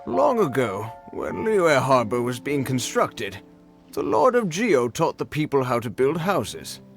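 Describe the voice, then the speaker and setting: Argumentative game side character, animated pitch, score clearly audible. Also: male voice, unhurried. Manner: challenging, leading questions. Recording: game cutscene with music underneath.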